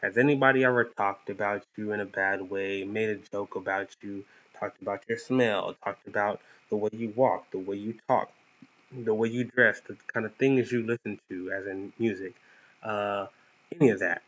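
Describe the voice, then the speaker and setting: A man's voice: on the low side, fairly even intonation, moderate pace, fairly bright tone. A young man with a lisp giving a playful, earnest motivational talk to viewers as a vlog host, with cocky bursts of emphasis. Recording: dull lo-fi sound.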